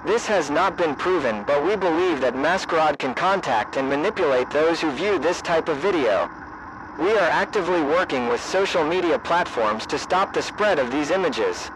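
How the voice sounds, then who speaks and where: A man delivers an official emergency alert in a slightly high-set voice. His reading is formal and measured, like a government announcement. Clear noise runs through the broadcast audio.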